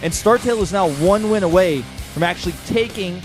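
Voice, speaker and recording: A young man casting a strategy game live, excitable and rising sharply when the fighting gets big. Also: high voice, brisk. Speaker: esports caster. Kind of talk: play-by-play commentary. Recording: commentary over loud game music.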